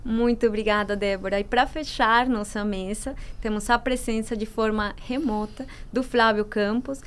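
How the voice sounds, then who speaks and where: A woman from Colombia with a Colombian Spanish accent, moderating a videocast panel with measured introductions. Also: on the high side, steady tone, clean tone.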